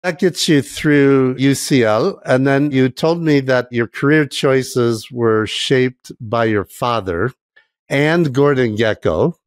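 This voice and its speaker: A man hosts a podcast interview and asks measured, prepared questions. His voice sits at an ordinary middle pitch. The recording is dry and close, with no room sound. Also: no echo. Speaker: podcast host.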